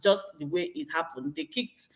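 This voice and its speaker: A woman's voice: medium pitch, sing-song, moderate pace, gravelly. A woman in her thirties from Nigeria with a Nigerian accent, chatting to her viewers and reading their comments, laughing often and repeating her exclamations. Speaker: vlogger.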